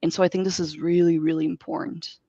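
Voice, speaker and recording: A woman from Brazil, a native Portuguese speaker, speaking as a software engineer guest in an online interview and giving earnest, expansive advice. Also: fairly low pitch, flat delivery, fast talker, no room sound. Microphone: video call. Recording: dull dry video call audio.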